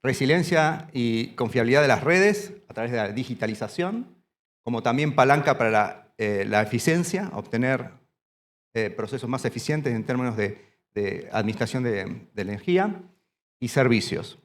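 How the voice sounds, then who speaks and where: A man from Argentina giving a standing conference presentation in a hall, halting and often restarting his phrases. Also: mid-range voice, animated. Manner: speaks standing up. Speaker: panel speaker.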